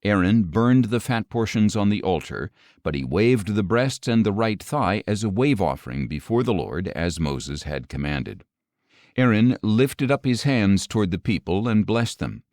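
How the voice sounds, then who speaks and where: A man giving a measured, solemn narration of scripture as an audiobook reader. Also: lowish voice, big pitch swings, a little mellow, dry recording, studio quality.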